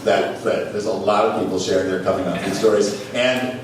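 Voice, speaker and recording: A man hosting a live comedy show, reading aloud for laughs with theatrical, drawn-out emphasis. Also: low voice, steady tone. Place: small theater stage. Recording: echoey, noisy live recording from far off.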